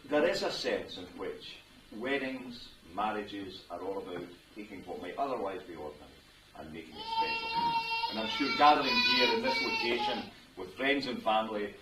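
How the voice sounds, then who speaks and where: A middle-aged Scottish man officiating a wedding ceremony, chatty and joking with his guests. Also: lowish voice. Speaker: wedding minister. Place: wedding venue indoors. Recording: roomy, distant mic with venue noise.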